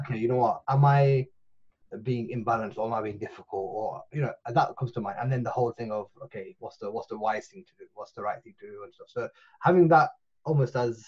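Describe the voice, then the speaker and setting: A man's voice: medium pitch, quick pace, a little mellow, slightly rough. A man, chatting as a podcast guest over a video call, circling back with many restarts and fillers. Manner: rambling, full of restarts and fillers. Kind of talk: casual podcast chat. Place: small room at home. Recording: muffled video call audio.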